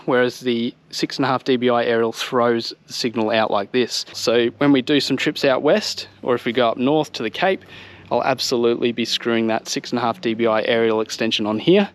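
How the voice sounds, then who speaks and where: A man in his thirties with an Australian accent, giving a casual, explanatory product review to his viewers outdoors. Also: mid-range voice. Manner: informal explanatory walk-through, addressing viewers. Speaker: vlogger reviewing vehicle gear. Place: outdoors on a home driveway by a vehicle.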